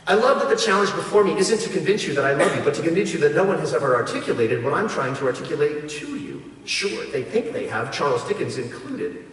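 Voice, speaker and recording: A man's voice: fairly high pitch, big pitch swings, fast talker, fairly bright tone. Male poet at a live reading, emphatic, wryly comic, recorded at a distance in a very reverberant hall. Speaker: poet reading own work at a live event. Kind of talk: performed poetry reading, spoken word. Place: performing arts hall in front of an audience. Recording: echoey hall, distant mic, noisy room ambience.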